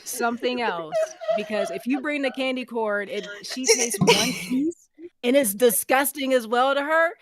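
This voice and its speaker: A woman's voice: high voice, lively intonation, measured pace. A woman in casual group banter, playfully emphatic and repeating phrases for effect. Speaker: audio drama panelist.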